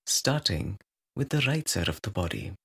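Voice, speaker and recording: A man guiding a meditation in a calm, measured way, leaving long pauses between short instructions. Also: fairly low pitch, gravelly, slightly rough. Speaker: meditation guide. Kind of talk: guided meditation, slow soothing instruction.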